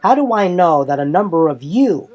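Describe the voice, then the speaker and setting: A man's voice: high-pitched, very animated, moderate pace, a little mellow, highs cut off, voice pushed up. A man playing a loyal, defiant young male warrior in an insistent, argumentative manner.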